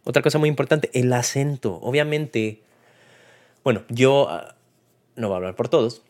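A man's voice: mid-range voice, normal speed, darkish tone. A man with a Mexican accent gives a casual, unscripted film review in a conversational, colloquial way, like a host talking to listeners. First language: Spanish. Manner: conversational, colloquial, retells scenes with acted-out quotes.

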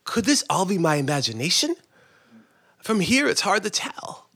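A man's voice: high voice, very animated, moderate pace, pushed and bright, breathy edge. A man reading a comic story aloud with theatrical, dramatic delivery, acting out characters as he goes. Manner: theatrical, acts out characters, breaks into chuckles. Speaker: story reader, performer. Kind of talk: dramatic comic audiobook narration.

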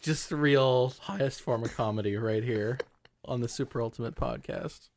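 A man's voice: mid-range voice, normal speed, gravelly. A man co-hosting a casual podcast, making dry, wry remarks. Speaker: podcast co-host. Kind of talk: casual chat.

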